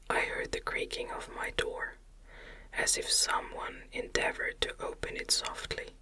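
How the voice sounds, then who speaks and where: A narrator reading a gothic novel as ASMR, in a hushed, breathy, unvoiced whisper with deliberate delivery. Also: low-quality recording.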